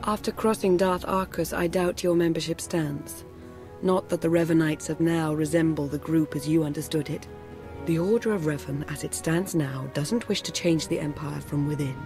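An actress playing a cool, composed female intelligence operative in a video game, speaking in a measured, formal, understated way. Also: low voice, fairly even intonation, music in the background. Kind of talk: scripted video game cutscene acting.

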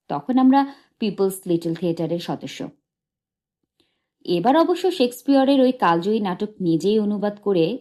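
A woman reading a memoir as an audiobook narrator, in a measured, literary storytelling delivery. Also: on the low side, mellow.

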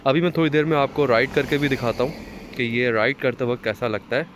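A man's voice: medium pitch, a little fast, slight background noise. Chatty young man. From Pakistan. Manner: chatty, explaining step by step with small restarts. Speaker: motovlogger. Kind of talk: casual how-to vlog. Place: outdoors on a street beside a parked motorcycle.

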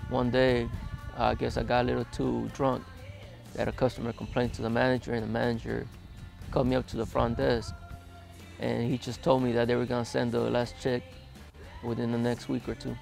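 A man in his twenties, interviewed outdoors in a desert yard, speaking plainly with many hesitant pauses. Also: medium pitch, completely flat delivery, vocal fry, darkish tone, soft background music. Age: 20s. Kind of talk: documentary interview, recounting his past.

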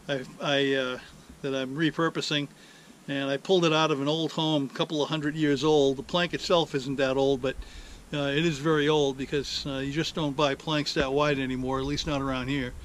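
An older man hosting his DIY channel, talking in a relaxed, rambling way with many fillers, standing outdoors by a rural driveway. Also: on the high side, slight background noise. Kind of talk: casual workshop vlog.

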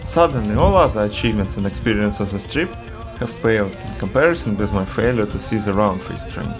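A man from Russia, narrating a travel film in an earnest, measured voice-over. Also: on the low side, lively intonation, over music. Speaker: travel vlogger.

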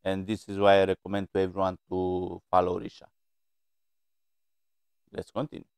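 A middle-aged man hosting a reaction video, talking in a measured way with many filler pauses, from a small room at a desk mic. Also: low-pitched, monotone, clear, resonant tone, dry recording, studio quality. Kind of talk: casual commentary. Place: small plain room indoors.

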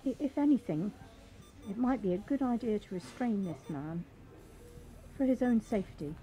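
A woman's voice: medium pitch, animated, normal speed, mellow, soft background music, close to an everyday voice, low-quality recording. A woman playing a concerned, insistent hospital colleague in an audio drama.

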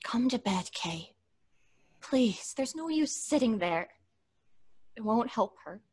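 A frightened, grief-stricken young woman for a radio horror drama. The voice is high and slow, swinging widely in pitch, melodramatic and imploring. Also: lively intonation, slightly rough, heavily acted. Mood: weary dread. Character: distraught grieving young woman, fragile, frightened, protective. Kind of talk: old-time radio horror acting. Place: home recording, live remote video call.

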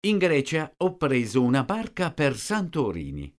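A man slowly reading out short sentences, each one twice, for a language lesson. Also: medium pitch, huge pitch swings. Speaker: language teacher. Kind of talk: slow repeated phrase reading.

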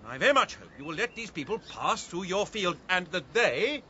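An actor playing a polite, well-spoken, diplomatic country gentleman in a radio drama. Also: high-pitched, sing-song, fairly quick, highs cut off, a little hiss or hum, exaggerated voice. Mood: firm, reasonable. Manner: hesitant, courteous, well-spoken.

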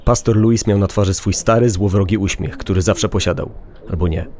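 A man's voice: low voice, fairly even intonation, brisk, warm tone. A man narrating a dramatized first-person horror-comedy story as a podcast reader, with crowd-like sound behind him.

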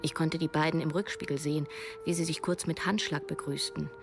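A low, creaky female voice narrates a radio drama as a woman in her thirties. She tells it in the first person, observant and wry, over clear background music. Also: steady tone, a little fast. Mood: watchful, wry. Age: 30s.

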